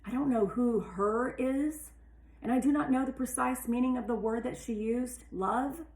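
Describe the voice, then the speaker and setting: A woman's voice: fairly high pitch, normal speed, a little mellow, voice pushed up, close to an everyday voice. A woman narrating as a curious, earnest robot rover longing to succeed, careful and precise, in a roomy storybook recording. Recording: roomy, echoey sound.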